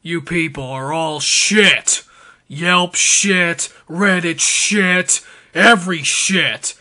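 A man giving an over-the-top dramatic reading, theatrical, emphatic and deliberate. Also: a little high, bright, forceful tone. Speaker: performer reading social media posts aloud.

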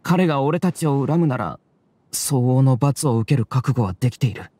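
Man playing a husky, remorseful young student. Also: medium pitch, lively intonation, normal speed, no room sound, natural voice. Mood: resigned, contrite. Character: remorseful young male student, anxious and self-blaming. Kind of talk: anime game voice acting. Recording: soft music behind.

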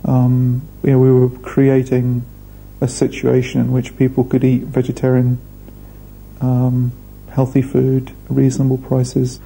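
A man, speaking thoughtfully in a documentary interview with measured pauses. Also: medium pitch, monotone, gravelly, mellow, a little hiss or hum, poor audio. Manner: measured, thoughtful, hesitant fillers. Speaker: restaurant founder. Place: indoor room.

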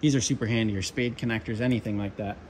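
A young man, an HVAC controls tech, chatting casually through his tool bag at a workbench, relaxed with lots of ums and asides. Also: lowish voice, fast, noisy background. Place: workshop with pegboard and workbench.

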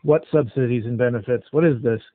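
A man's voice: medium pitch, a little fast. A man in a phone conversation, blunt and skeptical, questioning the caller as he strings them along. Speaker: call recipient stringing scam callers along. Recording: tinny narrowband phone line.

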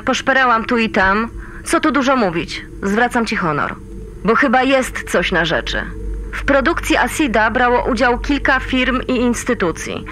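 An actress playing a wry, authoritative female mission controller in a sci-fi audio drama, talking in a brisk colloquial way. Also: a little high, a bit bright, slight background noise, lightly acted, poor audio.

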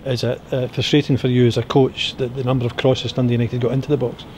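A man interviewing a football coach after a match, asking brisk, direct questions outdoors by the pitch. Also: little pitch movement, darkish tone, a little hiss or hum. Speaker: club media interviewer. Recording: faint outdoor stadium ambience.

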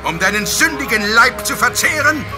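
A man's voice: very high voice, very animated, normal speed. A man playing a ruthless, self-righteous witch hunter in a horror radio play, declaiming pompously. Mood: gloating. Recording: loud dramatic music behind the voice.

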